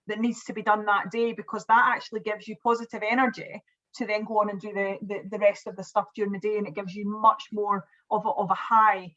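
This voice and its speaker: A woman's voice: medium pitch, fairly bright tone, dull audio. A woman presenting on an online webinar, chatting casually with fillers and restarts over a video call. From Scotland.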